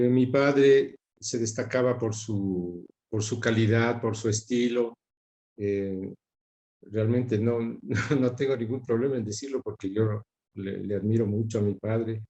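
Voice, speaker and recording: A middle-aged man with an Ecuadorian accent, reminiscing in an interview and often pausing to search for words. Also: mid-range voice, steady tone, dark tone. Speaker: interview guest recalling his father's career. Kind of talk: reflective spoken recollection in an interview.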